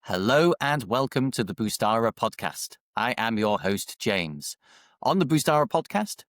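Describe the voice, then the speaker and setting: A man's voice: lowish voice, no echo, clean studio sound. A man hosting an interview over a video call, with a voice he is losing, hesitating through many fillers and restarts. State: losing his voice. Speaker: podcast host.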